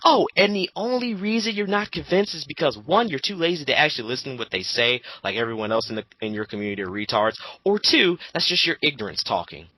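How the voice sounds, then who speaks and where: A man's voice: on the high side, big pitch swings, quick pace, hardly any pauses, sharp tone, dry recording, rough recording. A 22-year-old man giving a casual, sweary rant as a commentator, abrasive and mocking.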